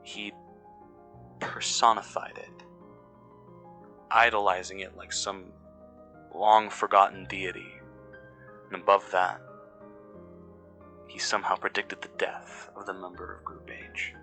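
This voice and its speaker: A man acting as an uneasy, cynical excavation worker, recording a fictional audio log in measured, brooding, scripted narration with pauses mid-sentence. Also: low voice, level tone, sharp tone, faint music behind, full character voice. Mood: disturbed, intent. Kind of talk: scripted first-person mystery narration.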